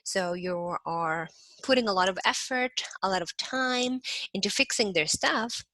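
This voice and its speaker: Bright-voiced woman lecturing. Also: medium pitch, a little slow, dry recording. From Egypt. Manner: conversational explaining with everyday examples; talks to listeners as "you" and checks in with "right?". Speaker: instructor. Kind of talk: lecture to a class.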